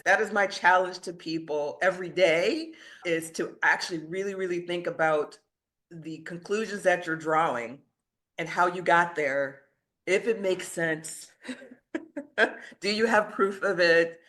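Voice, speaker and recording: A woman's voice: lowish voice, a little slow, fairly bright tone. A woman talking as a podcast guest, conversational, stressing and rephrasing her points. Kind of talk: conversational discussion.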